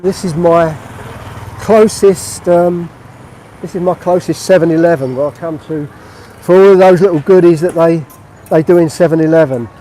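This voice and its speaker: A man's voice: fairly high pitch, fairly even intonation, moderate pace, warm tone, a little hiss or hum, rough recording. A middle-aged man from England, chatting casually on a live-stream walking tour of a street market. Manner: chatty, friendly, narrating while walking. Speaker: vlogger.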